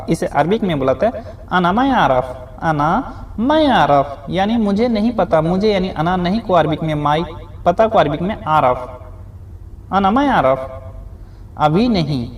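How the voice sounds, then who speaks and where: A man teaching spoken phrases in a beginner lesson, saying each phrase, repeating it and explaining it word by word. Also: a little high. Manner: addresses viewers as friends, frequent "okay". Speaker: Arabic teacher.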